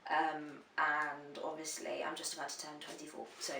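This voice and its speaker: A woman's voice: deep voice, completely flat delivery, moderate pace, bright, forceful tone, slight background noise. A woman answers viewer questions in a frank, chatty vlog, swearing freely. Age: 20s. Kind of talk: casual vlog Q&A. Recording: roomy echo, voice a bit far from the mic.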